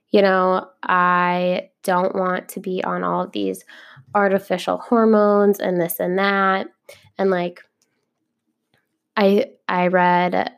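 A young woman talks casually into her podcast mic, filling her sentences with ums and likes. Her voice has a gravelly fry and stays fairly flat in pitch, and she sounds a little sniffly from a cold. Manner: chatty, filler-heavy, frequent ums and likes. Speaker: podcast host. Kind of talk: casual solo podcast monologue.

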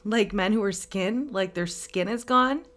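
Woman voicing an earnest young boy, lifted pitch. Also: animated, a little slow, fairly bright tone. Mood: curious. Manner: earnest, childlike. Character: eager, polite nine-year-old boy, easily exasperated. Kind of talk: storybook character dialogue.

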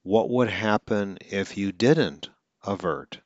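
Very low-voiced man who stutters slightly. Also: takes it slowly, dry recording, muffled recording. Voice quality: stutters now and then. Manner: earnest, coaching. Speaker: podcast host and stuttering coach. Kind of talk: instructional podcast monologue.

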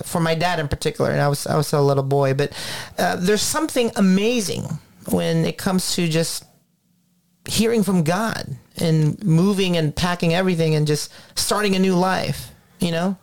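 A man's voice: high voice, normal speed, gravelly. A man from the Philippines co-hosting a faith talk radio show, eager and stumbling a little over his words. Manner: eager, repeats words as he starts sentences. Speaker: radio co-host.

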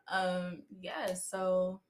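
Laid-back, slangy low-voiced woman. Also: slight background noise. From Los Angeles. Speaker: podcast co-host. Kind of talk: casual chat among friends.

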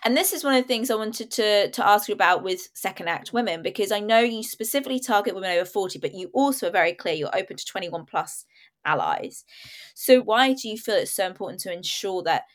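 A woman hosting an interview, with a loud and lively way of talking. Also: medium pitch, fast talker. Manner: loud, lively, asks long questions. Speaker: interview host. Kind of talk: conversational interview.

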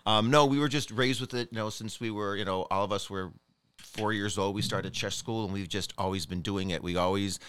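Very deep-voiced laughing male guest. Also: fairly even intonation, brisk, a bit bright, clean tone. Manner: self-deprecating, laughs easily. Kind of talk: interview.

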